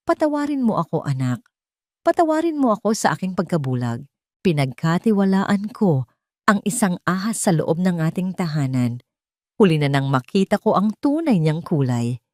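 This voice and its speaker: A woman's voice: lowish voice, a little mellow, no room sound. Regretful, protective father, voiced by a female narrator, earnest and grave, audio drama, dry sound. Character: remorseful, loving father warning his daughter by letter.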